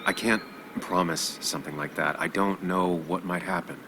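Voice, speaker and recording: A man's voice: low voice, steady tone, moderate pace, background noise, close to an everyday voice. A man playing an earnest, brooding journalist in a video game drama, subdued, hesitant and introspective. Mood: torn. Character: brooding, earnest male journalist returned to hometown. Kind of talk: video game drama acting with inner monologue.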